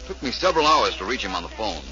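A cool, urbane gentleman detective with a low voice. He is suave and unflustered in a vintage radio mystery, heard through a muffled old recording. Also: very animated, fast talker, heavily acted. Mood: affable. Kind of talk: 1940s radio acting.

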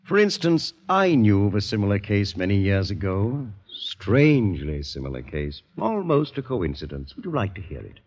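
In a dull old radio recording, a worldly, composed older man with a husky voice. His theatrical delivery is deliberate and probing, rising and falling widely as he insinuates. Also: on the low side, big pitch swings, a little mellow. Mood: quietly probing. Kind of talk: 1940s radio drama acting.

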